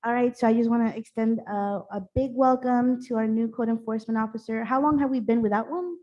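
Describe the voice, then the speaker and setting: A woman serving as mayor, chairing a town council meeting in a brisk, informal way and interpreting into Spanish herself. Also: fairly high pitch, steady tone, muffled recording.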